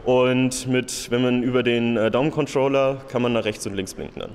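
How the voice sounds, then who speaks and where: A man's voice: mid-range voice, fairly even intonation, normal speed, darkish tone. Young guy in his 20s explaining his bike app project at an exhibition, handheld mic, long detailed sentences. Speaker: design graduate. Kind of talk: interview at an exhibition. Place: indoor exhibition hall. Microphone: handheld interviewer mic.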